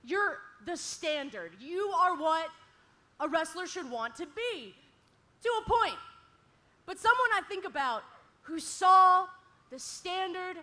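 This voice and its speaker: A woman's voice: very high voice, expressive, slow pace, long pauses, pushed and bright. A woman hosting a talk segment at a wrestling show in an indoor arena, sarcastic and needling toward her guest.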